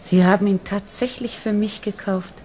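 A woman's voice: mid-range voice, steady tone, moderate pace, mellow, a little hiss or hum, natural voice. A woman playing a captured female android in a German radio drama, poised and bitterly resigned.

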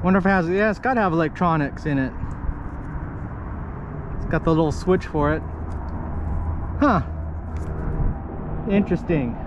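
High-voiced man talking on a kayak. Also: moderate pace, long pauses. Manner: talks to himself and the fish in short asides. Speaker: kayak fishing vlogger. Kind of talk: casual narration while fishing. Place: on a kayak outdoors on shallow water. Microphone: action camera. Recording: dull action-camera audio with wind and water noise.

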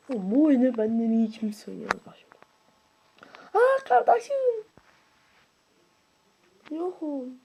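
Excitable whispery woman gamer, mellow. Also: gravelly. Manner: excitable, jumps between whispered asides and high squeals; playful, grumbles at the game. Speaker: gaming YouTuber. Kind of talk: casual let's-play commentary.

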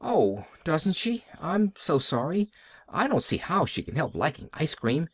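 Storybook role: gushing little orphan girl, relentlessly glad, breathless, high and swooping , acted by a man. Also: big pitch swings, measured pace, slightly rough, dry recording, clean studio sound, heavily acted. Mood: eager chatter. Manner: breathless, rambling, eager.

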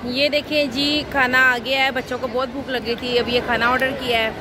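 A woman's voice: high voice, level tone, brisk. A woman with a Pakistani accent casually narrating a vlog to her viewers in appreciative, conversational running commentary, recorded on a handheld phone in a shopping mall. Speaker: family vlogger. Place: busy indoor shopping mall. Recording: very noisy, crowded mall ambience. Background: loud crowd and mall noise.

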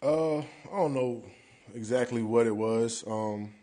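A young man with a mid-pitched, mellow voice talks loosely at a podium mic in a press room. He answers post-game questions conversationally, sprinkling "man" and "you know what I mean" through his lines. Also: slow pace. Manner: casual, conversational, drops "man" often. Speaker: football player. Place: press conference room, indoors. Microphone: podium mic in front.

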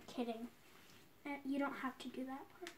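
A woman's voice: sits high, steady tone, normal speed, slight background noise. A young girl chatting casually to the camera while showing a how-to in her small bedroom, talking to herself as she goes. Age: child, around 10 to 12. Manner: chatty, unscripted, self-talking while tying a headscarf. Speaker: vlogger. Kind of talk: casual home tutorial vlog. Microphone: phone or tablet camera set across the room.

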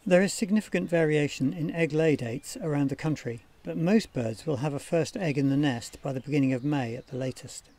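A man giving scripted documentary narration for a wildlife training film in a measured, explanatory manner. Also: on the high side, darkish tone.

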